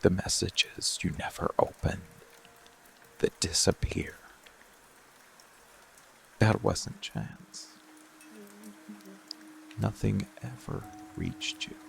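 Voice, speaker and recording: A man playing a possessive, protective monster lover in dark boyfriend ASMR, hushed and gravelly, often slipping into whispers. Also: low voice, heavily acted. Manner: hushed, breathy, slow soothing menace, often whispering. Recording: music and rain bed behind voice. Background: music, rain sounds.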